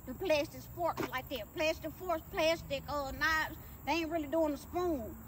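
A woman's voice: high-pitched, moderate pace, natural voice. A woman playing a slick, shady self-styled male lawyer in a comedy skit, talking evasively in repetitive street slang. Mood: hedging, boastful. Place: outdoors beside a shipping container. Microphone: phone camera from a few meters away. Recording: distant outdoor phone audio with noise.

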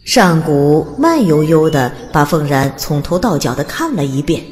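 A woman narrates a fantasy audiobook in a slightly low voice with very lively intonation. Her dramatic scripted delivery carries a long, hall-like reverb. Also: moderate pace, faint music behind.

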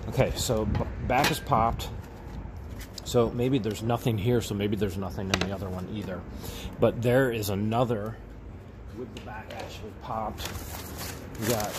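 Exclaiming man with background noise behind him. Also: lowish voice. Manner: exclaiming, repeats himself, talks to viewers. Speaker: vlog host. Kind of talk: casual unboxing commentary.

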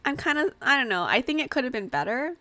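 A woman's voice: high-pitched, big pitch swings, quick pace, pushed and bright. A young adult woman chatting casually and playfully on a gaming livestream, rambling and giggly, into a desk microphone at home. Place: small room at home, indoors. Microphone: desk microphone with headset. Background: music.

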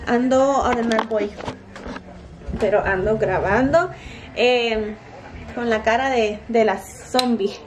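A woman's voice: fairly high pitch, takes it slowly, long pauses, noisy background. A woman in her thirties vlogging in her home kitchen on a handheld phone, chatty and playful but tired and sleepy. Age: 30s. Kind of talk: casual home vlog. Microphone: handheld phone camera.

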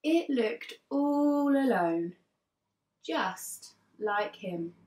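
A woman in her thirties reading a children's story aloud like an infant teacher, expressively, with dramatic pauses between phrases. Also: fairly high pitch, animated, darkish tone. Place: living room sofa, indoors. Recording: roomy, distant mic, faint music behind.

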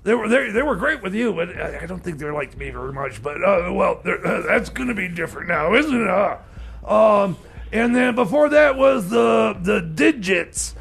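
A bumbling comedy radio DJ with a very high, strained voice full of gravel. He stammers and rambles through his announcements, exasperated and flustered, tripping over fillers. The tone is raspy and far from smooth. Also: lively intonation, normal speed, a bit bright, heavily acted. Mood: halting, muddled. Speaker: radio DJ and host. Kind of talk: comedy radio theater, bumbling on-air banter.

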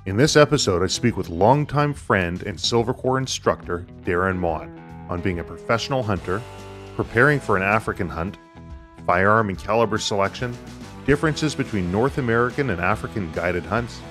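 Low-voiced man hosting a podcast. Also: expressive, moderate pace, over music. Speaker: podcast host. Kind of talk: podcast introduction and interview.

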